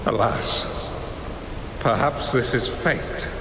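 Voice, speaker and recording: A man playing a wise, reclusive hermit master in a video game, calm and enigmatic, with measured formal phrasing. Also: mid-range voice, close to an everyday voice. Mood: resigned. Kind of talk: dramatic game voice acting. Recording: echoey hall reverb, noisy ambience.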